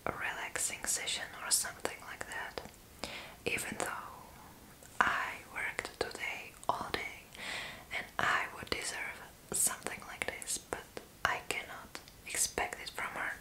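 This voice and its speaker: A young adult woman doing an ASMR roleplay in a breathy, unvoiced whisper, with a sassy, playfully deadpan manner. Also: rough recording. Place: small room indoors.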